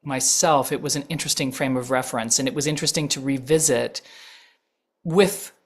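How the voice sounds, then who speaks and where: Middle-aged actress guest with a very low voice, reflective, measured, lots of pauses. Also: female voice. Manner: thoughtful, measured, with frequent pauses and fillers. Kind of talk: reflective interview answers.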